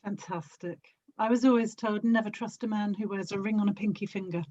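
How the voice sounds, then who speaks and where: A woman chatting casually as a podcast guest, with a gentle, giggly way of talking. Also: medium pitch, steady tone, brisk, muffled recording, a little hiss or hum. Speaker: podcast guest, audio drama producer. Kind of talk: casual chat.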